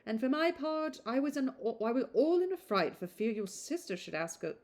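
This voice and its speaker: A woman's voice: on the high side, animated, moderate pace, darkish tone, pitched above the performer's own voice. A woman voicing a gossipy, affected young woman from a period novel, chattering in exclamatory run-on sentences. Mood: gossipy, brazen. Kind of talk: novel read aloud.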